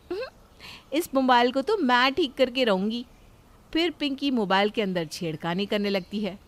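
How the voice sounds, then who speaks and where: A woman reading scripted narration of a family moral story as storyteller. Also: on the low side.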